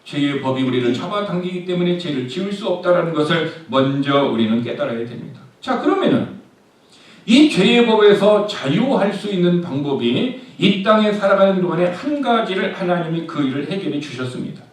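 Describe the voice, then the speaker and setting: A man's voice: high voice, sing-song, fairly quick. A man preaching a sermon as pastor, often asking the congregation a question and then answering it himself. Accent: Korean. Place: church sanctuary. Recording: very echoey church hall, mic at a distance.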